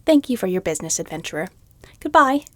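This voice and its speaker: A woman's voice: on the low side, very animated, quick pace, noisy background. A woman playing a weary, exasperated shopkeeper in a fantasy audio roleplay, reluctantly polite, with dry, muttered sardonic asides. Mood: sheepish.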